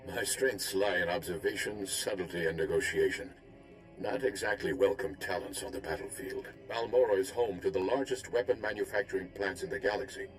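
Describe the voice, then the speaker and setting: An actor playing a courteous, grave Jedi diplomat in a video game, speaking formally and deliberately. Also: male voice, low voice, soft background music, natural voice, poor audio. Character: courteous, subtle male Jedi diplomat and intelligence observer. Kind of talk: video game cutscene acting.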